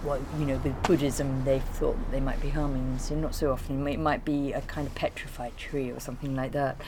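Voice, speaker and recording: A woman's voice: a bass voice, flat delivery, fairly quick, noisy background. A woman in informal conversation, explaining and recollecting in a rambling way. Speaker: artist being interviewed.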